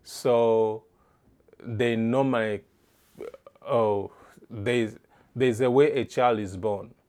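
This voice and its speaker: A middle-aged man from East Africa answering interview questions in a halting way, restarting phrases as he searches for words. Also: medium pitch, fairly even intonation, a little mellow.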